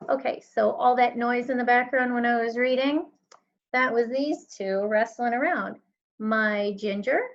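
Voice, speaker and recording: A woman speaks as an elementary teacher doing a read-aloud for children. She is unhurried and explanatory, a little hesitant, and the recording is somewhat dull and lo-fi. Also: a little high, vocal fry.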